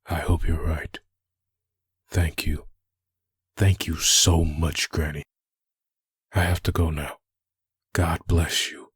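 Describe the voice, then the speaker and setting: A man playing a solemn, grieving undead antihero in a comic dub, with a low, husky voice. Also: flat delivery, slow pace, pauses often, a bit bright, no room sound, studio quality, full character voice. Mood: grateful, sombre. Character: grieving dead husband returned, solemn hellspawn antihero.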